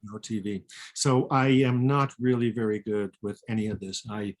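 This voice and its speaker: A man's voice: on the low side, steady tone, normal speed. A man chatting casually and candidly as a co-author in a personal conversation, with a self-deprecating manner. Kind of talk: casual unscripted chat.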